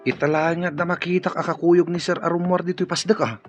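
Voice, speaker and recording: A man's voice: high-pitched, little pitch movement, a little fast, music in the background, lightly acted. An actor in an Ilocano radio drama playing an amiable, easygoing man at the office. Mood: amused. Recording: dull lo-fi audio.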